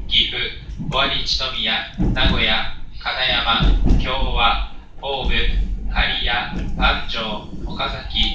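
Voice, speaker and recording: A man's voice: medium pitch, dull audio. Male train conductor, polite and formulaic PA announcement, very reverberant, with train noise. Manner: formal, polite, routine recitation. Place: inside a moving train carriage. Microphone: onboard PA picked up by distant handheld camera. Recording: echoey, noisy train PA, far from mic. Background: loud train running noise.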